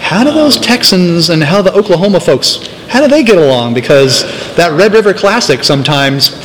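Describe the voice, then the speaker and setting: A man's voice: high voice, expressive, normal speed, rough recording. A man interviewing a guest in a casual, chatty way. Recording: busy crowd noise behind. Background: conference expo crowd chatter.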